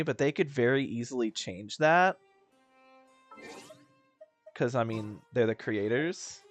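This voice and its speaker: A young man thinks aloud over a video game, rambling and circling back on his words. His voice sits at an everyday middle pitch, with faint game music under it. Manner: rambling, chatty, lots of fillers and restarts. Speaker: gaming video host. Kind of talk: casual gameplay commentary.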